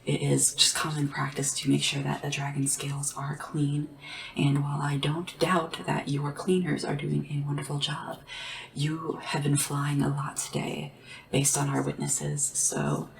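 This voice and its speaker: A woman speaking softly and whispering in an ASMR roleplay as a polite, hesitant, deferential sleep assistant to royalty. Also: low voice, level tone, a little slow, vocal fry, distant mic. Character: nervous, devoted royal sleep assistant to a dragon ruler.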